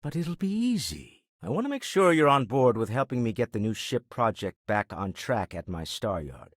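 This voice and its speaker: Husky, urbane older businessman. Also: medium pitch, sing-song, dry recording, clean studio sound. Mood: dry, skeptical. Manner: articulate, measured, dryly formal. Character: shrewd, urbane businessman explorer, wry and principled. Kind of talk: video game character dialogue.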